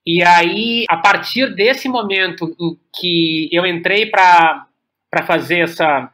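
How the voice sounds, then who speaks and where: A Brazilian man talking reflectively as an interview guest, haltingly, repeating words as he searches for the next one. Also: high-pitched, sharp tone. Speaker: podcast guest, playwright and director.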